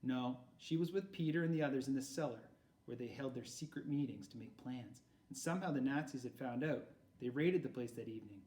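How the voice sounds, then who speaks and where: A man's voice: mid-range voice, brisk, darkish tone, lightly acted. A man voicing a solemn, protective storybook father, grave and patient in his explanations. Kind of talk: read-aloud storybook character. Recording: roomy echo, far from mic.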